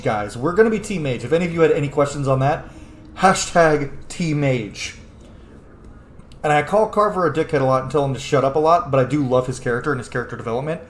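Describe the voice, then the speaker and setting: A man's voice: a little high, roomy sound, a little hiss or hum. A man, a young adult, hosting a gaming video with casual, sweary, emphatic commentary. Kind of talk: casual let's-play commentary.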